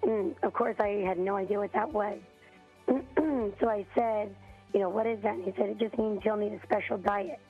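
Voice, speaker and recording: A hoarse mother in her thirties calling in to a radio show, telling her story haltingly with many ums and throat-clearing. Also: medium pitch, steady tone, faint music behind. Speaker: parent on a radio call. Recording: tinny phone line.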